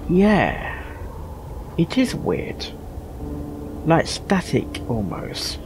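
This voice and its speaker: A man's voice: a little high, wildly swooping pitch, very slow, noisy background, exaggerated voice. A man playing a lanky, skeptical, grumbling railwayman in a story reading. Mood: puzzled.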